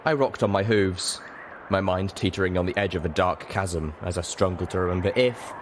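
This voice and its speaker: A man's voice: low-pitched, moderate pace. A man reading a story aloud in dramatic, scripted first-person narration. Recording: faint background noise.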